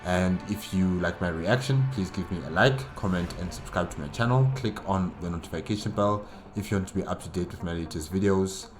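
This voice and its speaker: Very deep-voiced man, halting reaction commentary. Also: music in the background. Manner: halting, repetitive, emphatic about doubts. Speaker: reaction channel host. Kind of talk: casual solo reaction commentary.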